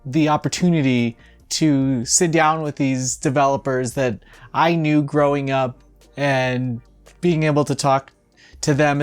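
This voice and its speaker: Casual gaming news host, mid-pitched voice, conversational delivery with a sarcastic, exasperated edge. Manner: sarcastic, exasperated asides with swearing. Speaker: host of a video game news show. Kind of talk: casual video news commentary.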